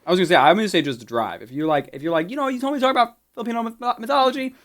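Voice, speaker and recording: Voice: mid-range voice, rapid-fire, a bit bright. A co-host chatting casually on a livestream, joking and repeating phrases. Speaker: podcast co-host. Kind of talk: casual stream chat.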